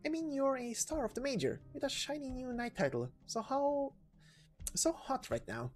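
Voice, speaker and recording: A woman's voice: sits high, big pitch swings, moderate pace, soft background music, voice pushed up. A woman voicing a chatty, cheerful young female knight from a game story, with a folksy, breezy, teasing manner. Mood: chipper.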